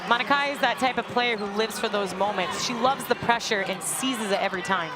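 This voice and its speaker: A woman's voice: fairly high pitch, brisk, very noisy. A woman working as color commentator, giving live analysis of a basketball game. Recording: loud crowd and music behind. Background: basketball crowd, music and bouncing.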